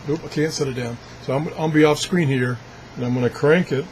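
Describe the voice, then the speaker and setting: A man giving a casual, unscripted product demonstration as a video blogger, thinking aloud haltingly as he handles things. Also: on the high side, steady tone, a little mellow, slight background noise, rough recording. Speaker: video blogger reviewing gadgets.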